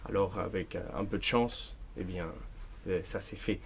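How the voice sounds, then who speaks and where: A man whose first language is Spanish, an author talking at a bookshop event, thoughtful and deliberate, often restarting his words. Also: low voice, breathy edge. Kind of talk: bookshop talk, reading and answering.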